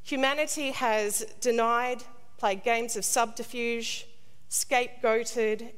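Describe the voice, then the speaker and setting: A middle-aged woman priest delivers a scripted sermon in a measured, solemn, deliberate way. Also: medium pitch. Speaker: priest, preacher. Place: large stone cathedral, indoors. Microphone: lectern gooseneck microphone. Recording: long cathedral reverb.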